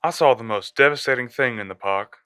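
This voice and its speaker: A man's voice: mid-range voice, fairly quick, sharp tone, smooth, no room sound, clean studio sound, close to an everyday voice. A man playing a sinister, gloating killer who phones a radio show, taunting and theatrical, in a radio drama. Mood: urgent, polite menace. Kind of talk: radio drama villain acting.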